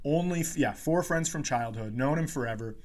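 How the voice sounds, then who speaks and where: A man's voice: medium pitch, brisk. A man who hosts a podcast as a comedian, telling stories casually with swearing, mock indignation and quoted dialogue.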